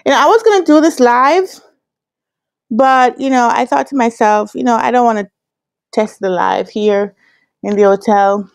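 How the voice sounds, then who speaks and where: A woman's voice: fairly high pitch, moderate pace, smooth. A woman in her thirties with a Jamaican accent, chatting casually to her viewers from a hotel room, laughing often as she tells her story. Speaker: vlogger and business analyst coach. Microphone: laptop webcam at desk.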